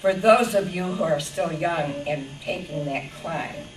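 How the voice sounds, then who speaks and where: A woman's voice: low-pitched, a little slow, a little hiss or hum. A woman in her eighties from western New York giving a heartfelt anniversary speech, big-voiced and storytelling, laughing as she talks. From western New York, USA. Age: 80s. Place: party room. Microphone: handheld microphone passed around. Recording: echoey room from far off with chatter.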